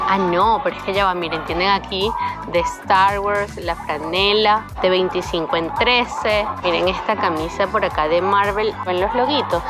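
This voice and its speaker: A young woman talks to her viewers while browsing a shop, exclaiming over every item and rattling off prices. Her voice sits at an ordinary middle pitch. Store music plays clearly behind her. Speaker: travel vlogger. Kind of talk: shopping tour vlog, talking to viewers. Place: indoor retail store. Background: store background music.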